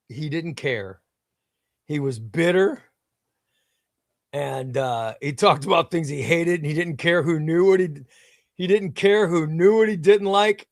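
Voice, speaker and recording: Brief: male comics stream host, somewhat high voice, dry close mic, eager and repetitive. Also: no room sound. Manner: enthusiastic, repeats himself, reads viewer comments aloud. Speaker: livestream host. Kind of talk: casual livestream chat reading comments.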